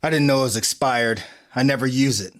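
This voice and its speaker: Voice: medium pitch, a little fast, pitched below the performer's own voice, close to an everyday voice. A performer reading an exasperated, bitter husband in a comic table read, sounding irritated, sarcastic and defensive.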